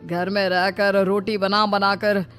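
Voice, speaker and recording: A woman's voice: medium pitch, little pitch movement, slow, a bit bright. Grumbly young cartoon son-in-law, acted by a woman: dismissive, scoffing, music underneath. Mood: grumbling. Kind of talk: cartoon family drama dialogue.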